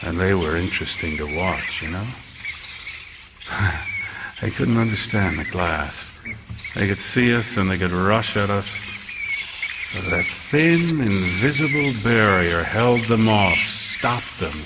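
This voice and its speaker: A man playing a haunted lighthouse keeper in a radio horror drama, telling his tale in an emphatic, halting, theatrical way. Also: low voice, expressive, very noisy. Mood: haunted, reflective. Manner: dramatic, halting, emphatic storytelling with repeated phrases. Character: haunted lighthouse keeper narrating a rat siege, intense, horrified.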